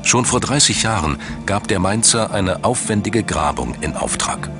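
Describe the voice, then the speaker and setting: A man's voice: lowish voice, animated, normal speed. A man reading scripted documentary narration in pronunciation. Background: background music.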